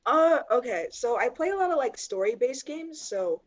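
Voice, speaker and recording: A woman's voice: a little high, very animated, moderate pace. A woman from Canada chatting casually on a practice date, giggling often and hedging what she says. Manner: giggly, hesitant, self-deprecating. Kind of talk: casual chat.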